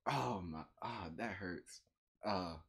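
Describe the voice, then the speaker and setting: A man's voice: low voice, slow, creaky, a bit bright, husky. A young American man casually reacting in slangy, repetitive commentary, chuckling as he goes. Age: young adult. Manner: slangy, repeats himself, chuckling. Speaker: reaction video host. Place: small room at home, indoors.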